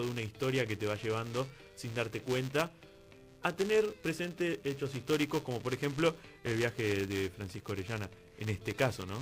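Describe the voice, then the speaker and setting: A man's voice: mid-range voice, poor audio. Casual man, music behind. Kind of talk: casual radio chat. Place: radio studio.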